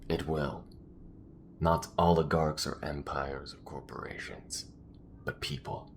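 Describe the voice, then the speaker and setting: A man's voice: steady tone, takes it slowly, creaky, noisy background, exaggerated voice. A man playing a weary, principled space rebel in a sci-fi audio drama, speaking in an earnest, emphatic way. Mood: defiant, firm. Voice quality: low-pitched.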